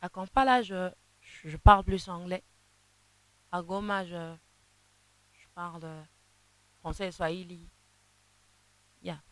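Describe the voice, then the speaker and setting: A young woman, a gospel singer whose first language is Kinande, answering interview questions in a halting way, often repeating words as she searches for them. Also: on the low side, fairly even intonation, rough recording. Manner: sings softly in places.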